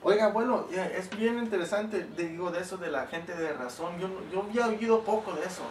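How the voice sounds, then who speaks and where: A man's voice: high-pitched, fairly quick, recorded from a distance. A man interviewing his grandfather at home, asking curious questions with many fillers. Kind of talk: family oral history interview. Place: home living room, indoors. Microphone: camera mic behind the camera. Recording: roomy home video with faint hiss.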